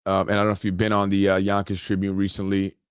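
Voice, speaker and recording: A man's voice: sits low, monotone, quick pace, clear, resonant tone, dry recording, studio quality. Rambling, chuckling New man. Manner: rambling, chuckling asides, many restarts. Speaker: podcast host. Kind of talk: casual political commentary reading an article aloud.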